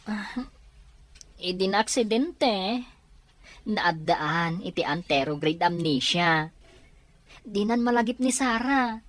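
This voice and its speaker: A woman voicing a young male nurse, Leo, who talks in a slow, soft, pleading way in a radio drama. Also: medium pitch, lively intonation, no echo.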